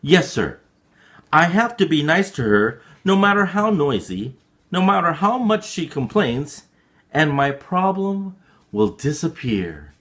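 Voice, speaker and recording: A man plays an earnest child in a storybook tale. The voice is lifted high and swings widely, pleading with slow, emphatic care. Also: big pitch swings, fairly bright tone. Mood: obedient. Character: pleading, earnest child narrator with a noisy grandmother.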